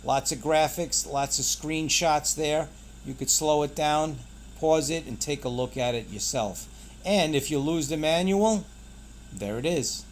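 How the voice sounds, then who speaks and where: A man's voice: on the high side, measured pace, a little hiss or hum. A man hosting a casual product unboxing, pointing things out in short, clipped phrases. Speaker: product reviewer and channel host.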